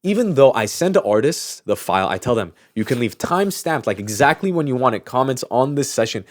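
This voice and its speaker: A man's voice: mid-range voice, sing-song, brisk, dry recording, studio quality. A man speaking in a casual podcast chat, explaining things informally as a host. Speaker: audio mixing engineer.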